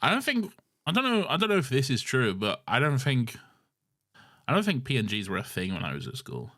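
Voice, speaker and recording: A man's voice: medium pitch, huge pitch swings, brisk, a bit bright. A man co-hosting a podcast in casual banter, joking, swearing and slipping into mocking retellings. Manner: sweary, jokey, mimics characters mockingly. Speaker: podcast co-host.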